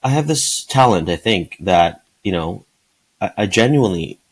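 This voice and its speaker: A man in his forties who is the guest in an interview, answering in a thoughtful, halting way. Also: low voice, expressive, slightly rough. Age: 40s. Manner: thoughtful, halting, many fillers and restarts. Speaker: guest, sales leader.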